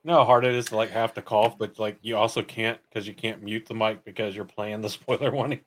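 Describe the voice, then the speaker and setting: A man's voice: fairly low pitch, little pitch movement, fast. A man co-hosting a podcast in a casual, colloquial, joking way, as in chat and plugs. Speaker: podcast co-host.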